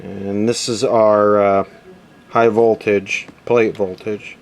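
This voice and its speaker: A man's voice: lowish voice, flat delivery, slow. A man giving a casual, matter-of-fact running commentary as he does hands-on repair work, thinking aloud. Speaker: electronics repair video host.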